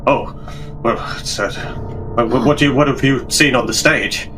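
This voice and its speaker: A man playing a tense, haunted war veteran in a tabletop game, speaking in a halting, formal, old-fashioned way. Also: mid-range voice, fairly bright tone, soft background music, lightly acted.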